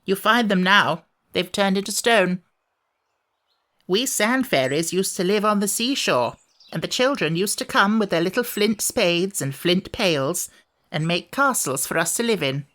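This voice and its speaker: A woman's voice: mid-range voice, lively intonation, a little slow, a bit bright. Grumpy ancient sand fairy, voiced by a woman. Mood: reminiscing. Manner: gloomy, peevish, reminiscing storyteller. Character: grumpy, ancient sand fairy creature, fussy and self-pitying. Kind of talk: children's audiobook character voice.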